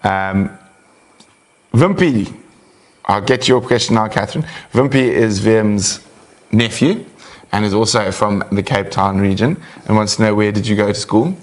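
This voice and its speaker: Low-voiced man hosting a relaxed live chat. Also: a little slow. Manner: relaxed, chatty, laughs often, many "um"s. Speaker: host of a live wildlife show. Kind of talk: casual live-stream chat, reading viewer questions.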